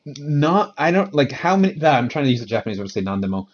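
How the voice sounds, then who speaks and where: A man who teaches English in Japan, chatting casually on a podcast and explaining with animated frustration. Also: mid-range voice, fast talker, highs cut off.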